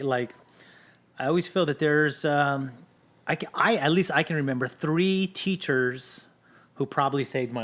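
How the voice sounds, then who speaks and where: A middle-aged man whose first language is Spanish, a guest on a casual podcast, telling his story candidly and often checking in with "right?". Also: on the high side. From Southern California. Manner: candid storytelling, frequent "right?" tag questions.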